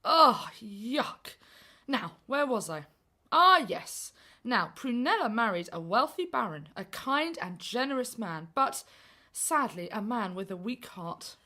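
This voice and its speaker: A woman's voice: a little high, big pitch swings, slow pace, bright, forceful tone, full character voice. A woman playing a chatty pantomime storyteller in a children's radio play, playful and dramatic. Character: chatty, theatrical storyteller servant, pantomime narrator.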